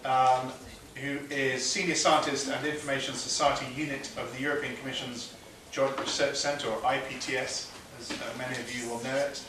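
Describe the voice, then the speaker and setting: A man acting as conference moderator, introducing a speaker in a measured, formal way. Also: medium pitch, level tone, background noise. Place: large conference hall. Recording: echoey hall, mic far off.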